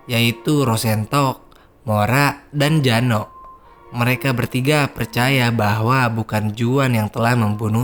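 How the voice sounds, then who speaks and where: A man, hosting a casual, slangy horror film recap for his viewers. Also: medium pitch. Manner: slangy, chatty, addresses viewers as guys. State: hoarse. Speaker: film review host.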